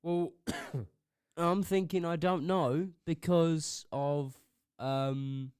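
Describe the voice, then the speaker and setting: A man's voice: on the high side, a little mellow, clear, resonant tone, no room sound, studio quality. Teasing young man. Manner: teasing, quick interjections. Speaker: podcast co-host. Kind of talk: casual chat. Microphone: studio podcast mic.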